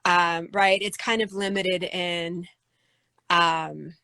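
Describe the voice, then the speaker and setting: A woman singer-songwriter with a low voice, answering interview questions thoughtfully, with many fillers and restarts. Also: fairly even intonation, slow pace, sharp tone, dry recording. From United States.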